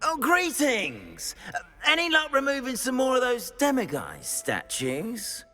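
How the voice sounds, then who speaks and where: A man's voice: very high-pitched, huge pitch swings, unhurried, bright, forceful tone, big-room sound, faint music behind, heavily acted. A man playing an anxious, fussy wizard school caretaker in a video game, speaking in a dramatic, hesitant, florid way. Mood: relieved, fretful. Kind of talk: video game character acting.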